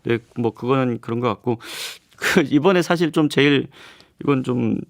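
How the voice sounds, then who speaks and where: Measured male professor on studio radio. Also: medium pitch, gravelly, no echo. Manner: measured, explanatory, with hesitant fillers. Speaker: political science professor guest. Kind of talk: radio current-affairs interview. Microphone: studio microphone.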